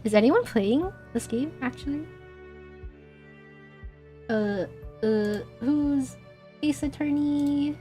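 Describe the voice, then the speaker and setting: High-voiced, hoarse, giggly woman streamer. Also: animated, slow pace, pauses often, mellow, faint music behind. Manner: giggly, chatty, crude jokes. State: hoarse, voice tiring. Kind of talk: casual gaming stream chat.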